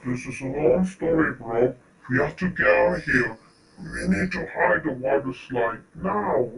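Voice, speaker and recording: Man doing hesitant cartoon guy, slow n gravelly, kinda far from mic. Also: a little high, expressive, fairly bright tone, heavily acted. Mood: urgent. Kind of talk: amateur animated skit dialogue.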